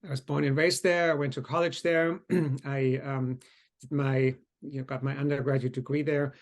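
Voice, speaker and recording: Man, middle-aged, mid-pitched, relaxed, university panel. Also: normal speed. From Germany. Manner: relaxed, clears his throat, small restarts. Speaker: biology professor. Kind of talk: panel Q&A introduction.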